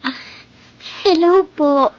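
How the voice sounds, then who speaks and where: A woman's voice: very high-pitched, extremely slow, slight background noise, heavily acted. An actress playing a timid, insecure sixteen-year-old orphan girl in a Filipino radio drama, speaking politely and hesitantly. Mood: tearful, disbelieving. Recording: dull lo-fi audio under loud music.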